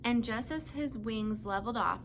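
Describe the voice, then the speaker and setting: A woman's voice: fairly high pitch, normal speed, fairly bright tone, a little hiss or hum. A woman narrating a children's book as a read-aloud, telling the story to young listeners. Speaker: storybook reader.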